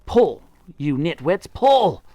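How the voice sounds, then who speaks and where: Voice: medium pitch, very animated, slow pace, no echo, voice pushed up. A reader doing a frantic, bossy monkey dad. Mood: excited. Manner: shouting, urgent commands.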